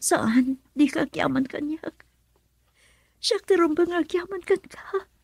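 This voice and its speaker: A woman's voice: high-pitched, takes it slowly, gravelly, no room sound, studio quality, full character voice. A woman playing an earnest, wounded young woman full of remorse, in radio drama style with a Filipino accent. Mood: teasing, earnest.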